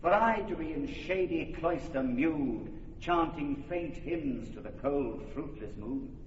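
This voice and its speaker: An actor playing a commanding duke who speaks measured, authoritative verse in an old radio play. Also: male voice, on the high side, animated, lo-fi sound, slight background noise. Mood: stately. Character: commanding duke passing judgment. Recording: distant echoey old radio recording.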